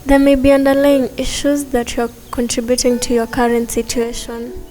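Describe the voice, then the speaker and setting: A woman playing a caring, earnest nurse in a radio drama, explaining things gently. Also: high-pitched, level tone, normal speed, natural voice. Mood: gently insistent.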